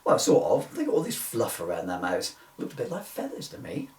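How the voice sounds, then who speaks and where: In a roomy, distant recording, a man acts out a dim comic showman. He states absurdities as plain fact, literal and pedantic, with a lively rise and fall. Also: lowish voice, fairly quick, pitched below the performer's own voice. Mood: matter-of-fact. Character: dim, literal-minded, unbothered duck-owning rodeo showman. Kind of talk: comic two-hander read from a book. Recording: distant, echoey room recording.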